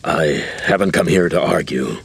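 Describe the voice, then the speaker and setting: An actor playing a gruff, remorseful middle-aged soldier father in a video game, speaking in a halting, heavy way. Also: extremely low pitch, exaggerated voice. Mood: subdued. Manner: halting, heavy, self-reproaching. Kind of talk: video game cutscene acting. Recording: noisy game audio with ambience.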